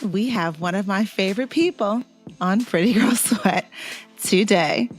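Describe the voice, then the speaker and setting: A woman hosting a casual interview show, playful and quick to laugh. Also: mid-range voice, faint music behind. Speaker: podcast host.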